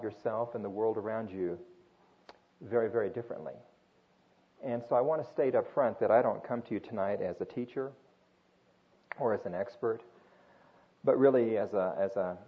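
An older man who grew up in Japan, speaking earnestly at a church gathering in an informal sermon with frequent restarts. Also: fairly low pitch. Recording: dull lo-fi recording.

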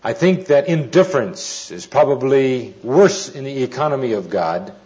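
A man giving an informal sermon with anecdotes, telling them deliberately with pauses and dry humour. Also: mid-range voice, expressive, darkish tone. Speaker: preacher, Bible teacher. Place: speaking live to an audience. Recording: dull, muffled lo-fi recording.

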